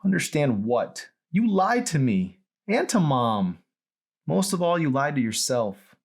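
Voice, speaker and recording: A man's voice: a little high, sing-song, measured pace, gravelly, voice pushed up, full character voice. A man voicing an angry, wounded teenage daughter in an audiobook, pleading and accusing with heavy emphasis. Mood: furious. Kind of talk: dramatised audiobook reading.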